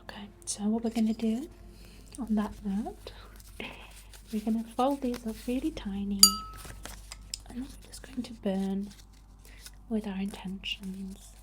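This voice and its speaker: A British woman whispering in a breathy, soothing, reassuring way, as an ASMR host leading a healing ritual. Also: medium pitch, steady tone, measured pace, pauses often, darkish tone. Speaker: ASMR host, spiritual healer.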